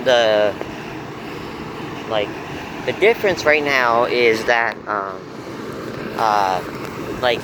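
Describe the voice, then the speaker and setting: A young man vlogging outdoors on his phone, slightly hoarse from losing his voice, rambling with lots of fillers and pauses. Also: medium pitch. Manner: rambling, halting, full of fillers. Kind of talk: casual rambling vlog. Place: outdoors near a bus loop on a windy day. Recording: loud wind noise outdoors.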